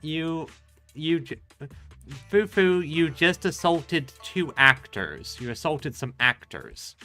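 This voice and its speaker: A man's voice: a little high, lively intonation, slow pace, pushed and bright, faint music behind. A man giving casual commentary as he plays through a game, talking off the cuff. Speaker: streamer playing and commenting on a game.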